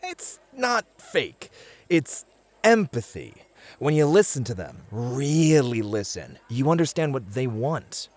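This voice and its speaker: A man acting in an ASMR roleplay as a smooth, confident, flirty club host who reassures and charms. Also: medium pitch, sing-song, slow. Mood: reassuring, suave.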